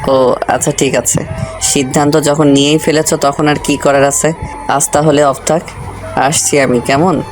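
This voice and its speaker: Calm, even-voiced strict male teacher, played by a man. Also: a little high, normal speed, over music, close to an everyday voice. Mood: calm, resigned. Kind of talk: audio love story drama.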